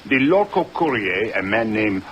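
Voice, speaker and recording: An actor playing a courtly, excitable French police inspector with a French accent and fussy, polite speech in an old radio drama. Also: male voice, fairly low pitch, moderate pace. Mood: courteous, precise. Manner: fussy, polite, repeats himself. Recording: dull vintage radio recording, hissy.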